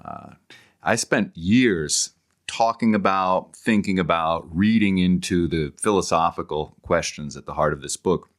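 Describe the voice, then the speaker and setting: A middle-aged man with a very deep voice talks as a podcast guest. He explains things conversationally like an academic, often pausing and repeating words while he works out the thought. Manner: halting, repeats words as he thinks aloud.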